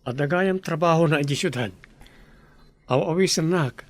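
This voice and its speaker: A man acting an earnest, higher-voiced young Ilocano villager. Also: moderate pace, natural voice. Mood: affectionate. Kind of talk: Ilocano radio drama acting.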